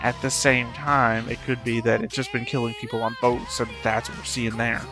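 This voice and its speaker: A man giving unscripted reaction commentary as a host, rambling as he thinks out loud. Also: mid-range voice, flat delivery, normal speed, music in the background.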